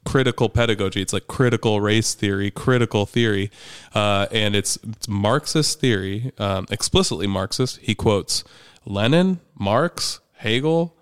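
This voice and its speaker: Low, smooth-voiced man. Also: a little slow, no room sound, studio quality. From United States. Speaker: podcast guest, parent activist. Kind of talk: candid conversational recounting.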